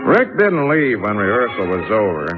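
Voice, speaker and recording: A man's voice: on the low side, very animated, moderate pace, music in the background. A man narrating a scripted 1950s radio drama. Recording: muffled vintage radio broadcast.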